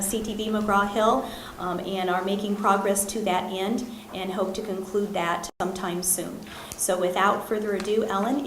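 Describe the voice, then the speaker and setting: Woman, meeting chair, flat hesitant delivery, echoey room. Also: mid-range voice, a little hiss or hum. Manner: halting, many ums and uhs. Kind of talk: formal meeting remarks.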